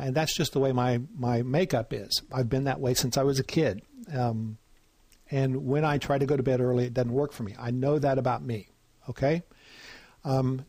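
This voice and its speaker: A man's voice: medium pitch, a little mellow, dry recording. A man giving an informal lecture, talking in a conversational way with lots of fillers and asking "right?" to check in. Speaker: instructor.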